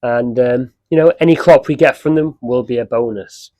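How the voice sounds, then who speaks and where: A man with a cold and a slightly croaky voice, chatting casually in a gardening vlog. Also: mid-range voice, normal speed, lo-fi sound. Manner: chatty, relaxed, with many fillers. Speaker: allotment vlogger. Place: outdoors at an allotment.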